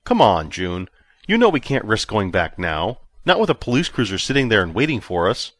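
A man's voice: fairly low pitch, huge pitch swings, moderate pace, a bit bright, dry recording, clean studio sound, heavily acted. A man reading an audiobook, voicing a cocky, easygoing male space captain who talks in a breezy, persuasive way. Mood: coaxing.

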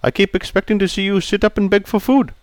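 Male reader as grumpy veteran barber, blunt broken English, lively gravelly voice, dry sound. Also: mid-range voice, measured pace, dry recording. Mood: gruff. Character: crusty older male barber, gruff and prickly.

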